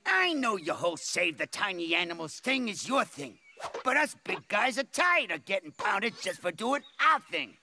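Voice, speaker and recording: Sharp, high-voiced grumbling tiger. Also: male voice, very animated, normal speed, slight background noise, exaggerated voice. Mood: aggrieved. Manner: complaining. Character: fed-up big predator tiger.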